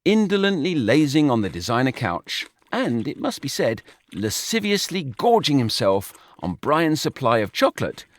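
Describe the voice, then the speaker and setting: Man reading a funny pig story, deadpan but voice goes all over the place. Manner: wry, dry comic storytelling with wide swings in pitch. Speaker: narrator. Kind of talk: storybook narration.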